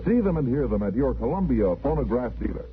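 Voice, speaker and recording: A man's voice: medium pitch, big pitch swings, quick pace, slight background noise. A man with an American accent reading a polished 1950s radio commercial as announcer. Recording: tinny narrow-band vintage radio, faint music.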